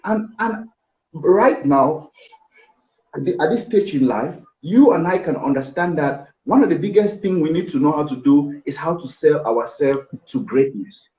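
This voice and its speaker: Animated Cameroonian man, mellow and echoey. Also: fairly high pitch, unhurried. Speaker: interview guest, business executive. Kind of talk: conversational personal storytelling. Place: indoor office. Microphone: video call, seated back from device. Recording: echoey, dull video-call audio.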